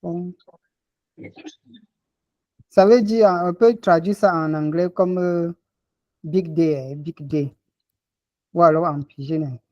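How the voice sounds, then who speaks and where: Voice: medium pitch, takes it slowly, pauses often, mellow, dry recording, highs cut off. A language teacher on a video call explaining phrases patiently and repeating words.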